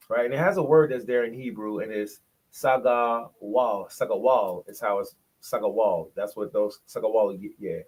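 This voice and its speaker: A man's voice: medium pitch, low-quality recording. A man presenting in a bible study, explaining points from a shared screen and sounding out syllables, often repeating himself.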